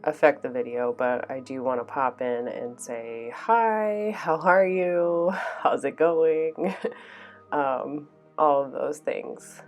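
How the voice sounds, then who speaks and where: Low-voiced woman, nasal from a cold. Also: animated, slow, faint music behind. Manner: chatty, many ums and small laughs. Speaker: art channel host. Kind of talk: casual voice-over while painting.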